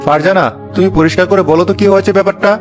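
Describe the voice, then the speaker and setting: Man playing a fast-talking, bewildered grown son. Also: sits high, steady tone, over music, lightly acted. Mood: puzzled, reproving. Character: bewildered grown son, mildly reproving his mother. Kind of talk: Bengali cartoon comedy acting.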